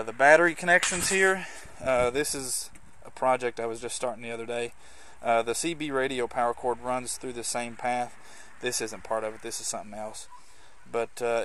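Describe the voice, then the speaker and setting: Male hobbyist presenter, medium-pitched, informal and conversational, talking through practical work on location at a car. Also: a bit bright. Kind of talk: informal hands-on walkthrough. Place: in and around a parked car.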